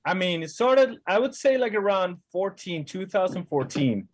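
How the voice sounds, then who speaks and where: A man from Caracas with a Venezuelan Spanish accent, a guest musician answering interview questions in a halting way, searching for words. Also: high voice, big pitch swings, breathy edge. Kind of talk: casual interview chat.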